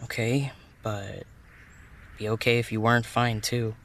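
A man acting in an audio drama as a supportive, casual teammate who speaks gently and frankly. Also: mid-range voice, level tone, measured pace, gravelly, slight background noise. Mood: gently concerned. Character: supportive, casual male teammate waiting at base. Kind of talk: naturalistic fiction podcast acting.

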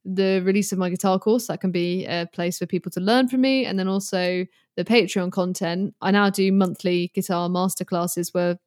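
A woman's voice: fairly low pitch, fairly even intonation, normal speed, few pauses, darkish tone, no echo, clean studio sound. A British woman in her early twenties chatting casually as a guest, candid, informal and rambling. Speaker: podcast guest, guitarist and online creator. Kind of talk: casual chat.

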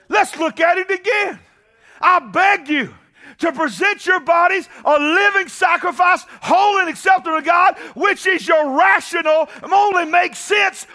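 A pastor preaching a sermon, building to impassioned shouting and calling out to the congregation. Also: male voice, extremely high pitch, measured pace.

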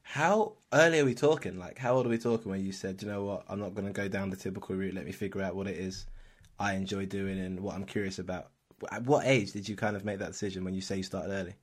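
A man's voice: deep voice, fast talker. Encouraging male interview host. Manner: encouraging, affirming. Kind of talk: conversational interview.

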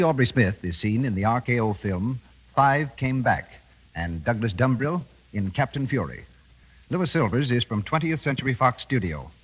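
A man's voice: medium pitch, husky. 1930s radio announcer, male, echoing hall. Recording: muffled 1930s radio recording, hall reverb.

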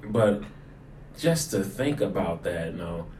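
A man with a low voice speaks as a pastor in relaxed conversation. He repeats his phrases to drive points home. The recording has a roomy echo and some background noise. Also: slow pace. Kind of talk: casual conversational discussion of a sermon.

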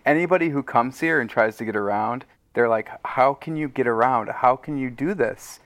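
A man's voice: mid-range voice, moderate pace, background noise. A man chatting casually as an interview guest, informal and exclamatory, acting out imagined reactions as he goes. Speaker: podcast guest, audio drama writer. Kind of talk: casual chat.

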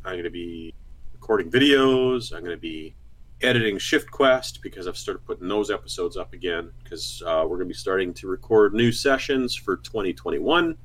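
Man, podcast co-host, low husky voice, animated, joking, remote voice chat. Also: normal speed. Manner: joking, playful. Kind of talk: casual chat.

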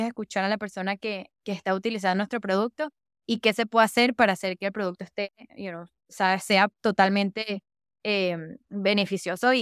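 A young woman from Venezuela talks as an interview guest, upbeat and eager, often repeating her intensifiers. Her voice is smooth and resonant, and it sits at an ordinary pitch for a woman. The sound is dry and close. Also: no echo. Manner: enthusiastic, repeats "de verdad que" and "súper". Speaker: podcast guest, user researcher. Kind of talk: casual interview chat.

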